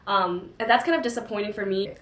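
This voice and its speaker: Young woman, book-chat co-host, chatty and full of fillers, mid pitch, echoey room. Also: fast, fairly bright tone. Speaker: co-host of a book discussion channel. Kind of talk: casual book discussion.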